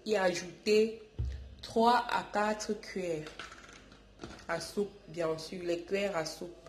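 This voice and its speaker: A woman's voice: medium pitch, takes it slowly, roomy sound, a little hiss or hum. A woman with a stuffy voice from a cold, hosting a home remedy tutorial and calling her viewers darlings, often restarting her phrases. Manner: affectionate, calls viewers darlings, repeats and restarts. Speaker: YouTube channel host. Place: home, indoors.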